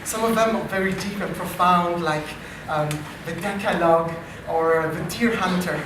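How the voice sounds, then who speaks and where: A man with a French accent, an AI researcher hosting a live improv comedy show, talking in a dry, self-deprecating way with halting restarts. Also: high-pitched, background noise. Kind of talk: live comedy stage talk. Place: live comedy meetup venue. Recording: distant, very echoey live venue.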